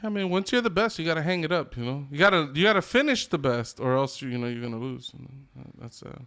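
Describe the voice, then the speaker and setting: A man's voice: on the high side, animated, fast talker, fairly bright tone. A man co-hosting a podcast, chatting casually with enthusiasm, plenty of slang and swearing. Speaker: podcast co-host. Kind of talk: casual group chat about video game movies.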